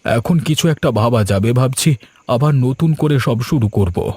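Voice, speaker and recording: A man's voice: medium pitch, animated, unhurried, a little mellow, husky, no echo. A man voicing an earnest, grateful middle-aged village farmer in a cartoon. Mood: earnest relieved. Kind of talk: cartoon voice acting.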